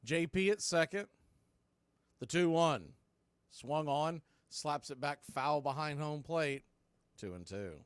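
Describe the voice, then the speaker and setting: A man works as a baseball play-by-play announcer. His voice sits a little high for a man. He delivers calls in short, clipped phrases with pauses between them, in a very dry sound free of room echo. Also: lively intonation, smooth. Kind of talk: live sports radio play-by-play commentary.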